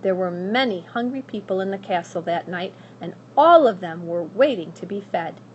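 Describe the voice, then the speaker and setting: A woman narrating a children's story aloud in a dramatic, expressive and deliberate way. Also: mid-range voice, highs cut off. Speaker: school staff member reading aloud. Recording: faint background noise.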